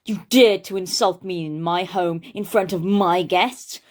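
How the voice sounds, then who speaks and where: A woman's voice: fairly low pitch, unhurried, natural voice. A woman voicing a proud, vengeful male borrower in a children's storybook reading. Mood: threatening.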